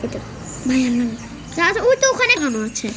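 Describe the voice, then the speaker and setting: A young-sounding game host giving casual, excitable gameplay commentary, often repeating words in rapid bursts. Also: high voice, heavy background noise. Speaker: gaming video host.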